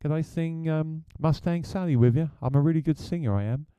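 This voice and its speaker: A man's voice: on the high side, a little fast, mellow, clean tone, no echo, voice pushed up, lightly acted. A man doing a pushy cheeky party guest. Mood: wheedling. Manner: wheedling, boastful.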